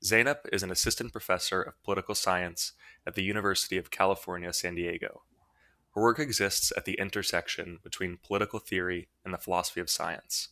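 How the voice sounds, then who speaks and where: A man's voice: deep voice, steady tone, fast, sharp tone, no echo. A man hosting an academic book podcast, reading a formal introduction and then asking interview questions. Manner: formal, read-aloud delivery. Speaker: podcast host, assistant editor.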